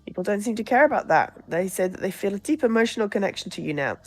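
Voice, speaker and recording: A woman's voice: medium pitch, lively intonation, fast talker, faint music behind, natural voice. A woman voicing a positive, matter-of-fact campaign aide in a visual novel, blithe and consoling.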